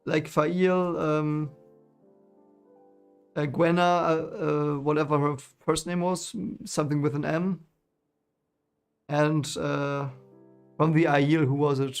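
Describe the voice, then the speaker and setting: A man's voice: a little high, level tone, slow, creaky, pauses often, clear, resonant tone, soft background music. A man with a German accent, German his first language, chatting casually with his live chat about a book he is reading, rambling with many fillers and restarts. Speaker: streamer reading a book series.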